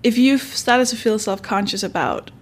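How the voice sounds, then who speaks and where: A woman's voice: fairly high pitch, normal speed, breathy edge. A woman from Denmark with a Danish accent, speaking English as a second language, hosting a podcast interview in a chatty, encouraging way. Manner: chatty, encouraging, warm laughs. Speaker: podcast host. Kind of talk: conversational interview.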